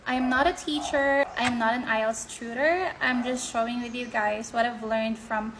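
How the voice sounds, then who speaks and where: A woman's voice: fairly high pitch, fairly even intonation, moderate pace, background noise. Filipino woman explaining in a chatty way. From Philippines. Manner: conversational, with fillers like "like" and "right?". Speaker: vlogger sharing exam tips. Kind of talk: casual explanatory vlog.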